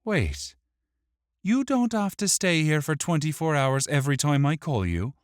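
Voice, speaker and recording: A small young fantasy hero, played by a male narrator, pitched well above the reader's own voice. He sounds urgent, bossy and easily exasperated, in a close, dry audiobook recording. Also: animated, a little slow, dry recording. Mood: shocked. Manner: urgent, demanding. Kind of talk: audiobook character voice.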